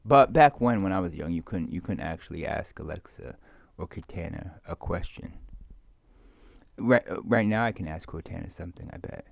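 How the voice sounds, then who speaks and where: Low, creaky man rambling haltingly. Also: animated, no room sound. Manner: halting, repetitive, meandering, trailing off. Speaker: ASMR video creator. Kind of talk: rambling, musing ASMR talk to the listener. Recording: muffled lo-fi close microphone, dry.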